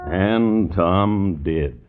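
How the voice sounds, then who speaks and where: An actor playing a wry crime storyteller, narrating in droll, deliberate vintage radio style. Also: male voice, deep voice. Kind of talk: 1950s radio scripted narration. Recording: dull old radio recording. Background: plucked guitar music.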